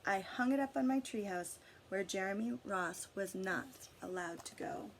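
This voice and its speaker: A woman reading a picture book aloud to children, with dramatic emphasis, drawn-out words and asides to her listeners. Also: medium pitch, slight background noise. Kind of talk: storybook read-aloud with asides and questions to listeners.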